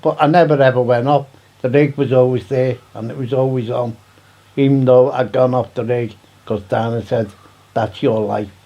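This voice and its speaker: A man's voice: medium pitch, steady tone, moderate pace, mellow, muffled recording. A man with a Liverpool accent, reminiscing casually in an interview in plain-spoken, colloquial language. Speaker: CB radio hobbyist being interviewed.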